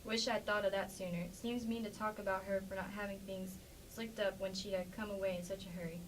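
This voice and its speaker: A woman's voice: mid-range voice, fairly even intonation, fairly quick, lightly acted. A woman playing a plainspoken, defensive farmer's wife in an amateur staged play reading. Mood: guarded, defensive. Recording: distant mic with faint background noise.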